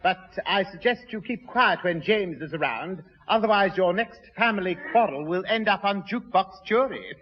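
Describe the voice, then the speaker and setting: An actor playing a dry, stuffy husband with a formal, sardonic manner in a 1960s radio sitcom. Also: male voice, sits high, expressive, moderate pace, hardly any pauses. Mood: dry, reproving. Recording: muffled, hissy, reverberant 1960s radio recording.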